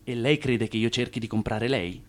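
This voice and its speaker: An actor playing an ardent, smooth-talking immigrant suitor in his thirties in an Italian radio drama, with a persistent, theatrical wooing manner. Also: medium pitch, steady tone, moderate pace, close to an everyday voice. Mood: eager, courting.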